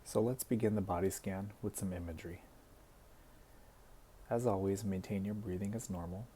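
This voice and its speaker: A man leading a guided body scan meditation as an instructor, speaking in a gentle, soothing way with deliberate pauses. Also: sits low, steady tone, slight background noise.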